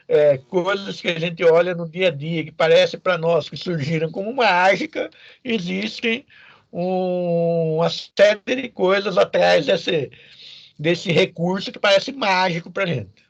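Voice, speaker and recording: Male Brazilian teacher, notably high voice, didactic and informal, question tags; dull lo-fi sound. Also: slow, creaky, no echo. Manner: didactic, checks in with short tag questions. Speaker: programming teacher. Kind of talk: informal explanatory lecture to students.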